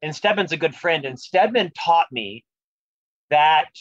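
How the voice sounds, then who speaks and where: A man's voice: fairly high pitch, expressive, unhurried, dull audio. A man with a lifelong stutter, speaking earnestly and reflectively as an author being interviewed about leadership. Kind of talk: podcast interview conversation.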